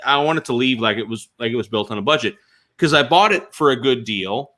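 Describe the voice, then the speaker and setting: A man talks casually with a sharp, forceful edge to his voice. His pitch sits in the middle. He tells stories in an animated, informal way. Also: brisk. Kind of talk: casual storytelling chat.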